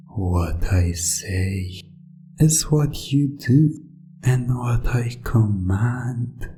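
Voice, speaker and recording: A hypnotist delivering a scripted ASMR induction in a soft, soothing yet commanding way, with deliberate phrasing and breathy moments. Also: sits low. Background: soft plucked string music.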